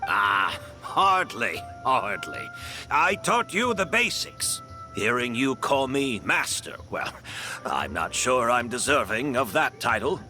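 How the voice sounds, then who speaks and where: Gracious older perfumer, modest, animated, forward bright tone, faint music, video game. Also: male voice, mid-range voice, slow pace, heavily acted. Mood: warm, modest. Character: modest, kindly older master perfumer and mentor. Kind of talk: video game character acting.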